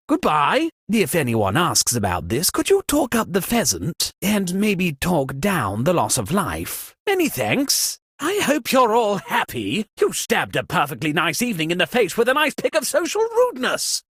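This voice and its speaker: A man's voice: sits high, a bit bright, breathy edge, dry recording, clean studio sound, exaggerated voice. A man voicing a fussy, exasperated upper-class British party host in a comic video game, with a pompous, theatrical and sardonic delivery. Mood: huffy farewell.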